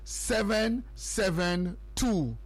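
A Jamaican man hosting morning radio, talking in a fairly high voice. He loops the same phrases and reads numbers out again and again, building up his audience. His delivery is pure broadcast patter. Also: lively intonation, drawn-out words. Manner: repetitive, hypes and repeats phrases and numbers. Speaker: radio host.

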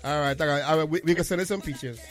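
High-pitched, easygoing Grenadian radio man. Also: very fast, soft background music. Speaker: radio host. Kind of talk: casual chat with a caller.

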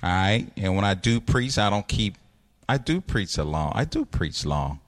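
A pastor co-hosts a chat with a lively, swooping voice that jumps high and drops low. He is playful and slangy, and he teases his co-host often. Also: moderate pace. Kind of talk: casual chat.

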